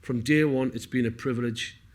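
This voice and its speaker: A man's voice: medium pitch, fairly even intonation, normal speed. Earnest man from North East England. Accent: North East English. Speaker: film cast member. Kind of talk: heartfelt speech at a public Q&A. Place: on stage at a cinema Q&A.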